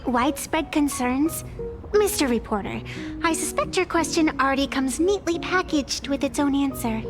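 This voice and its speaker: A woman's voice: high voice, expressive, moderate pace. An actress playing a cheeky, outspoken young woman in a video game, with sassy retorts. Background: game music.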